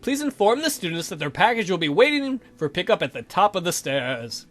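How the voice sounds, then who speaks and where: A man voicing a dry, sardonic vampire count who speaks deliberately, with mock-polite menace, for a visual novel game. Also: high voice, big pitch swings, fairly bright tone. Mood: coolly commanding.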